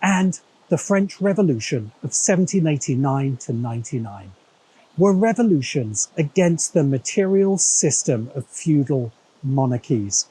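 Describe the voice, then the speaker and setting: A man's voice: on the high side, mellow, a little hiss or hum, poor audio. An English man delivering scripted video essay narration in a didactic, wry way. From England.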